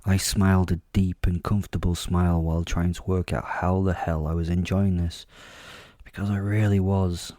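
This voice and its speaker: A man playing a suave, vain werewolf killer who narrates a horror audio drama in a measured, smug, darkly humorous way. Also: low voice, little pitch movement, warm tone, no room sound, studio quality, close to an everyday voice. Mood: amused, self-satisfied.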